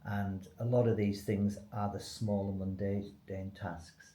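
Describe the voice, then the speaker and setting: A man's voice: fairly low pitch, level tone, slow pace, warm tone. A man speaking unscripted as a company spokesman in a business webinar, explaining things in an informal, loosely structured way. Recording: echoey room with faint noise.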